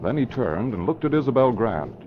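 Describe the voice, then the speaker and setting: A man's voice: mid-range voice, sing-song, a little fast, background noise, lightly acted. A man narrating a vintage radio crime serial in scripted, storytelling style. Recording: muffled old radio recording.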